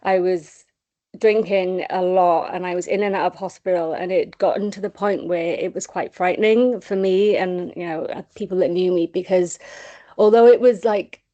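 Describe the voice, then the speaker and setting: A woman's voice: on the low side, steady tone, moderate pace, mellow, muffled recording. A woman with a Welsh accent, speaking on a video call, tells her own recovery story hesitantly, with many ums, and says she is nervous. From Wales. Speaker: charity comms and admin officer.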